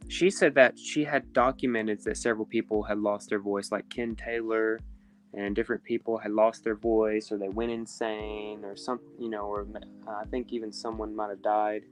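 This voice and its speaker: A man's voice: on the low side, fairly even intonation, normal speed, faint music behind. A man in casual chat, mostly listening and relaying questions.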